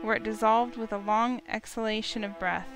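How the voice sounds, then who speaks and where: A woman narrates a scripted fantasy story in storybook style. Her voice is a little high, and music plays clearly behind her. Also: fairly even intonation, normal speed.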